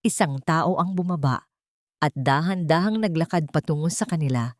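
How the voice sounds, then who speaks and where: A woman reading a scripted dramatic story as narrator, in a measured, literary storytelling manner. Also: low-pitched, no echo, studio quality.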